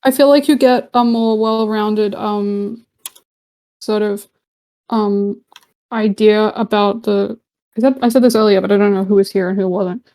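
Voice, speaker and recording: Mellow-voiced woman chatting casually. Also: medium pitch, fairly even intonation, measured pace. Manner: chatty, rambling with fillers. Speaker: discord chat participant. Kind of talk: casual voice chat. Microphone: home computer mic on voice call.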